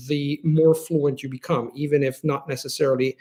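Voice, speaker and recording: A man's voice: on the high side, little pitch movement, moderate pace, dark tone. A man with an Italian accent, a native Italian speaker, hosting a live stream and answering viewer questions in an earnest, explanatory way. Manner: explanatory, earnest, restarts phrases while thinking.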